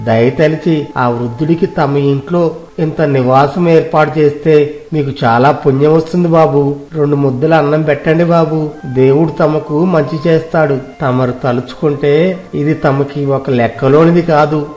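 A man's voice: on the high side, steady tone, normal speed, a little mellow, lightly acted. A man voicing a kind, humble young village cloth peddler in a story, earnest, deferential and pleading. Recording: roomy echo, music behind.

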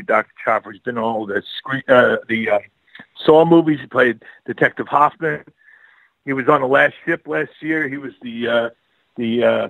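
A man's voice: mid-range voice, a bit bright, no echo, rough recording. Male radio guest, New York accent, rambling anecdotes, dry sound. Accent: Brooklyn/Long Island New York. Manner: rambling storyteller, laughs often, many fillers. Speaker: guest author and filmmaker. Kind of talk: casual radio interview.

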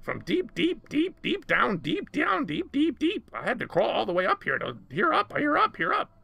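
A man's voice: extremely high pitch, wildly swooping pitch, moderate pace, creaky, bright, forceful tone, husky, no echo, voice pushed up, heavily acted. A man voicing a small, frantic, lovesick monster in a storybook, pleading and repeating words.

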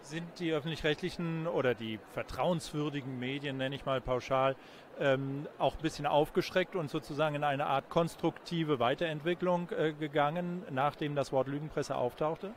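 A man in his forties with a slightly high voice puts questions in a conversational journalistic style. He speaks into a handheld microphone, with faint city ambience in the background. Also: fairly even intonation, moderate pace, a little hiss or hum. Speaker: interviewer, TV journalist. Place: busy event courtyard in daylight. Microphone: handheld reporter microphone. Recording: outdoor urban ambience behind voice.

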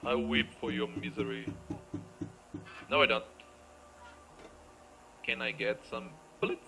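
A man's voice: mid-range voice, fairly even intonation, takes it slowly, vocal fry, low-quality recording. A man giving casual, off-the-cuff game commentary, muttering playfully to himself, repeating phrases and exclaiming as he plays. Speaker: gamer recording a let's play.

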